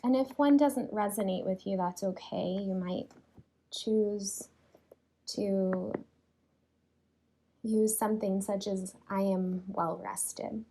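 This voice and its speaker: A woman leading a guided yoga relaxation in a soothing, unhurried way, leaving long pauses between phrases. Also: mid-range voice, warm tone, rough recording. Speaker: yoga nidra teacher.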